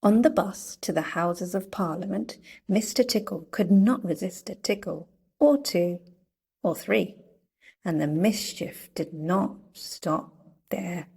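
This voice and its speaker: A woman reading a children's story aloud in a playful, deliberate storytelling manner. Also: on the low side, gravelly, darkish tone, breathy edge. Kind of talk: read-aloud storybook narration.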